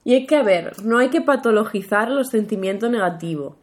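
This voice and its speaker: Young woman on a podcast, giggly and teasing, mid pitched voice, casual chat. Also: expressive, brisk. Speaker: podcast co-host.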